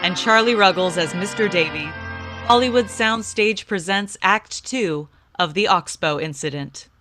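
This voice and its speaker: A woman's voice: mid-range voice, a little slow, over loud music, close to an everyday voice. A woman playing a polished, upbeat 1950s radio announcer with a salesy delivery. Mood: polished, formal.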